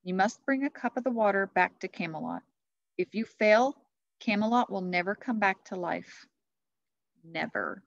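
A woman's voice: normal speed, creaky, natural voice. Woman voicing a solemn, commanding knight, echoey sound. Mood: solemn, kinder. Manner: stern, booming, declamatory. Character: commanding, booming male Christmas knight in a fantasy tale. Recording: muffled, echoey classroom webcam audio.

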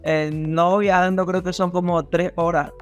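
A young man from Ecuador with a coastal Ecuadorian accent, telling his story casually and haltingly as an interview guest on a video call. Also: high-pitched, level tone, soft background music. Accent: Ecuadorian coastal Spanish. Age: young adult. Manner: halting, repeats words as he goes. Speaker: podcast guest, rapper. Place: small indoor studio room on a video call.

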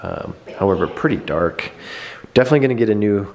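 A man in his thirties giving a quick, upbeat house tour and chatting at home. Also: on the low side, lively intonation, gravelly, a little mellow. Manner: quick, upbeat, rambling with restarts. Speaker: vlog co-host. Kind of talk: casual house tour and chat. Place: living room at home, indoors.

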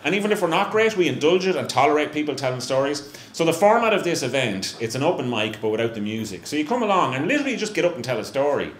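A man's voice: a little high, sing-song, fast talker, few pauses. A middle-aged Irish man chatting on stage at a live event, self-mocking and full of restarts. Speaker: brewery representative, guest. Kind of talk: casual onstage chat. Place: small live venue room with stage. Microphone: handheld mic on stand. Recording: echoey room, faint hum.

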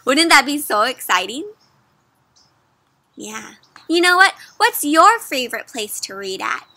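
A young adult woman reading a picture book aloud to children in an animated, interactive way, addressing her listeners directly. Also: high voice, measured pace. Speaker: children's story reader, host. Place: outdoors under trees.

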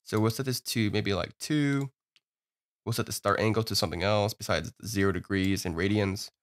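A man walking through a software tutorial in a relaxed, upbeat way, often filling gaps with "you know" and "uh". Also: on the low side, normal speed. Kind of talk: screen-recorded software tutorial.

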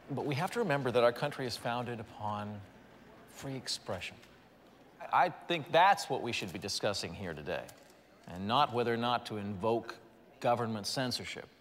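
An actor playing a measured, persuasive politician speaking at a press event. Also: male voice, fairly low pitch, a bit bright, big-room sound, a little hiss or hum, lightly acted.

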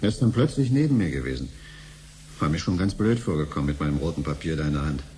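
An actor playing an ordinary, curious but hesitant husband in conversational 1970s radio drama style. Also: male voice, rumbling low, lively intonation, quick pace, warm tone. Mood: defensive, recounting. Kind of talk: 1970s German radio play acting. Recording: noisy 1970s radio recording, hum.

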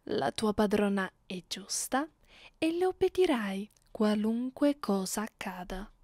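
Woman acting slow, haughty vampire mistress. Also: mid-range voice, lively intonation, darkish tone, dry recording, studio quality. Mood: cold threat. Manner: imperious, taunting, measured, at times whispered. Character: haughty, cruel, centuries-old female vampire mistress, bored and menacing. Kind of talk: ASMR roleplay.

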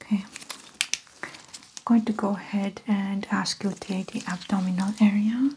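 A woman whispering softly and breathily in an ASMR medical exam roleplay, gently explaining each step without hurry as the doctor. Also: mid-range voice, fairly even intonation, normal speed, noisy background. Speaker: ASMR performer playing a doctor.

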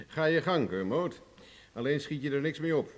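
A man's voice: on the high side, expressive, measured pace. An actor playing a self-assured, hard-bargaining man in a radio drama, commanding and mocking in manner. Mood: taunting, confident. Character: cocky, hard-bargaining male adventurer, threatening and mocking.

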